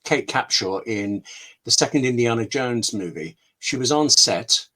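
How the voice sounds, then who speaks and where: A man's voice: mid-range voice, lively intonation, a little slow, sharp tone, slightly rough. A man being interviewed as a scriptwriter on an online call, explaining with examples and anecdotes in a candid way, often interrupting himself. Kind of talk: explanatory webinar chat with examples.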